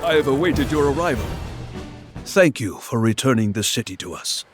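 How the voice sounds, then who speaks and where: An actor playing a wise, composed male centaur guide in a video game, speaking in a measured, knowing manner. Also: mid-range voice, expressive, music in the background, lightly acted. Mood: grave, courteous. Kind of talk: video game cutscene acting.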